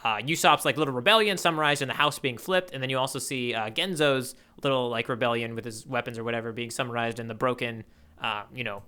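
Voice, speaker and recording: A man co-hosting a podcast, chatting casually about comics in an animated, enthusiastic way. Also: mid-range voice, fast, smooth. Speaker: podcast co-host. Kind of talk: casual manga discussion.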